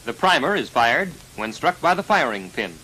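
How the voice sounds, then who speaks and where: An American man narrating a 1940s military instructional film in a formal, precise, measured delivery, on a vintage film soundtrack. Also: mid-range voice, sing-song, bright, forceful tone, slight background noise, low-quality recording. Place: voice-over recorded for a film.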